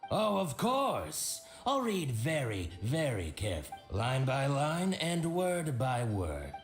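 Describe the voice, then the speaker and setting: An actor playing an excitable, scheming shopkeeper with a grudge, theatrical and exclamatory, in a video game. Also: male voice, mid-range voice, slow, echoey room, heavily acted. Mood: smug, grudging. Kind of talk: animated video game voice acting. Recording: game dialogue over background music.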